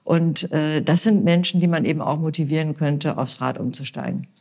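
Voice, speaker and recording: A woman's voice: deep voice, steady tone, normal speed, darkish tone, husky. Earnest woman candidate with many fillers. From Westphalia, Germany. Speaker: mayoral candidate. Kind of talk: political interview answers.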